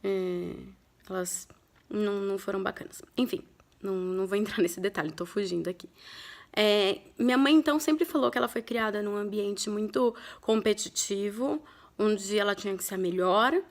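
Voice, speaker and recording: Woman in her thirties, from Brazil; candid, reflective vlogger telling a family story with frequent pauses. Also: medium pitch. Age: 30s. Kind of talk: personal storytelling to camera.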